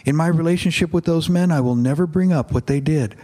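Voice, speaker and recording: A man's voice: fairly high pitch, fairly quick, voice pushed up, natural voice. A man voicing God as a patient father. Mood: gentle. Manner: calm, gentle, patient. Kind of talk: reading a novel aloud.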